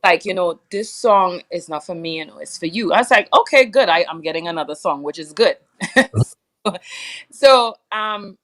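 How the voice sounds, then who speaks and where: Young trini woman, low voice, chatty and meandering, talks in a loose casual way. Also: animated, moderate pace, fairly bright tone. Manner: rambling, many fillers, laughs often. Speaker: soca singer, guest. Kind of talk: casual interview chat.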